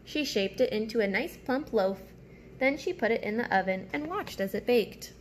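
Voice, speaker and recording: A woman reading a picture book aloud as a teacher, with simple, deliberate phrasing and asides to young listeners. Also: fairly high pitch, lively intonation, slight background noise.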